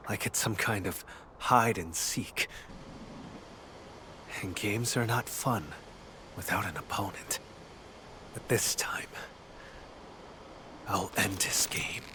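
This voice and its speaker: An actor voicing a brooding, determined male investigator in a video game, deliberate and grim in manner. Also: lowish voice, background noise. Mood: grimly resolute.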